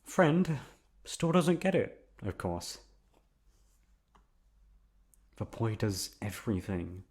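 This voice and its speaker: A man's voice: lowish voice, very animated, unhurried, a little mellow. A man voicing a nervous, obsessively lovesick young best friend in an intimate ASMR roleplay, rambling hesitantly straight to the listener. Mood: frustrated, expectant.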